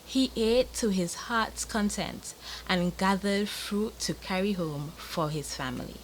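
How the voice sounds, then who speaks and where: A woman's voice: medium pitch, unhurried, poor audio. A young woman from Montserrat with a Caribbean accent, narrating a children's bedtime story.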